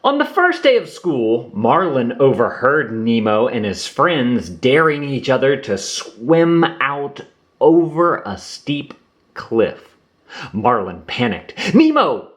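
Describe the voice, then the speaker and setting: A man reading a children's storybook aloud in an expressive storytelling manner. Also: mid-range voice, slow pace. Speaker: storybook reader. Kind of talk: children's read-aloud narration. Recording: roomy echo.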